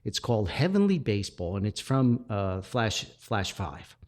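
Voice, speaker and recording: A man's voice: lowish voice, very animated, moderate pace. A man hosting a podcast, talking and reading a story aloud in a folksy storytelling way. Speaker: podcast host, author.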